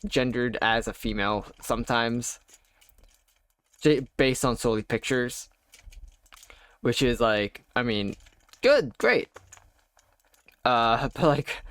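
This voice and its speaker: A young adult man telling a personal story while playing a game, halting and wry, full of fillers and restarts. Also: medium pitch, fairly bright tone, clear, resonant tone, no room sound. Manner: wry, self-deprecating. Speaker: gaming YouTuber. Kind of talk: casual storytelling over gameplay.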